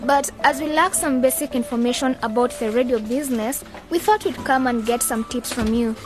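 An actress plays a sharp young woman in a radio drama. Her voice sits high and she speaks assertively and articulately, with music faintly behind. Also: a little fast, lightly acted. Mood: earnest. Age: young adult.

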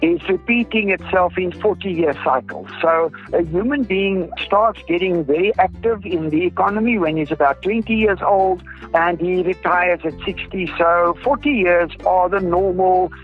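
High-voiced South African Afrikaans man, dull recording. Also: moderate pace, slightly rough. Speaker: groundwater researcher, interview guest. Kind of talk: explanatory interview talk. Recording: dull lo-fi audio with music behind. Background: music.

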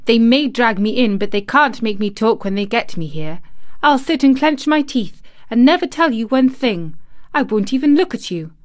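A woman voicing a fierce, obstinate young girl in a storybook, with a sharp, sour manner. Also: fairly high pitch, lively intonation, unhurried, few pauses, dry recording, studio quality. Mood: stern, defiant.

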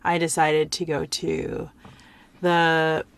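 A woman's voice: sits low, completely flat delivery, slow pace, creaky. A woman, a guest in a casual chat, talking candidly with many fillers. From United States.

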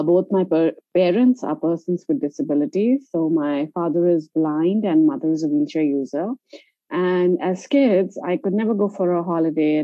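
A woman's voice: fairly low pitch, dark tone, no room sound. A woman with an Indian accent, answering as an interview guest in a conversational, explanatory way. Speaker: interview guest, travel company founder. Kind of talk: conversational personal storytelling. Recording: dull, lo-fi audio.

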